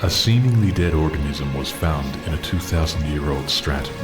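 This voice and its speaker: A man playing a cold, obsessed warrior villain in a video game, speaking in a grave, measured, aloof way. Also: very deep voice, expressive, over music. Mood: grave, absorbed. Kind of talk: dramatic video game acting.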